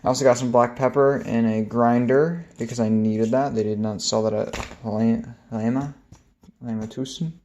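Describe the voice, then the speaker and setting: A young man, an exchange student, chatting casually in a vlog, rambling and hesitant with many pauses. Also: medium pitch. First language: English. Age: young adult. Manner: relaxed, rambling, many ums.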